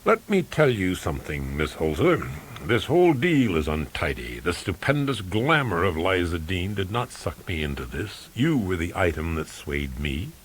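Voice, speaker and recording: A middle-aged investigator from an audiobook crime story , played by a man, blunt and wry. His voice is low and husky, and under the tough, terse talk he is warm-hearted. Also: very animated, moderate pace, heavily acted, low-quality recording. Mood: intense, earnest.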